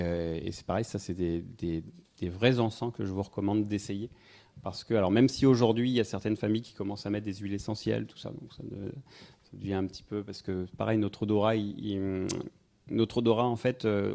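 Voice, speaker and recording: Hesitant man with a dark, low voice. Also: vocal fry. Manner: rambling, hesitant, many fillers, wry asides. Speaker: speaker giving a talk on incense history. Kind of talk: informal public talk to a live audience. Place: festival evening event, indoors.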